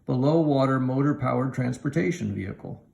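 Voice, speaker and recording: Elderly storybook wizard read by a man, inventive, commanding, lifted in pitch with some gravel. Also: animated, fairly quick, a little mellow. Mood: proud. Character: kindly, inventive old bearded wizard, proud and commanding. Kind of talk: animated children's storybook reading.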